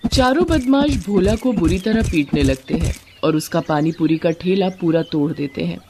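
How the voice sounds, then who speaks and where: A woman reads a scripted moral tale as its narrator. Her voice sits a little low for a woman, and the recording carries some noise. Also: expressive, normal speed. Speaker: story narrator.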